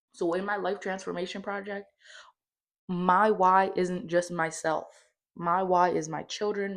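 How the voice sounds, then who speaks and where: A woman in her late twenties talking candidly in a casual personal vlog, with lots of fillers. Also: fairly low pitch. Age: 20s. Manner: chatty, candid, lots of fillers like "like" and "you know". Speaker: vlogger.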